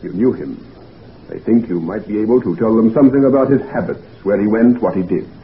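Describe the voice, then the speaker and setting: An actor playing a concerned, frank physician friend, chummy and old-fashioned, in a 1940s radio drama. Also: male voice, lowish voice, animated, brisk, natural voice. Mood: earnest. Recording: noisy, tinny 1940s radio recording.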